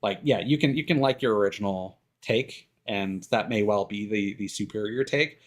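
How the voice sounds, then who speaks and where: A man streaming live, talking casually and giving his opinions in a halting way, circling back over his words. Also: on the low side. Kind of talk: casual opinionated rambling on a livestream.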